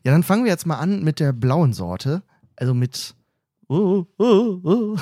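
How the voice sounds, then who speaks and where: A man's voice: a little high, big pitch swings, measured pace, mellow. A man co-hosting a casual podcast from a training camp, teasing and joking with his co-host.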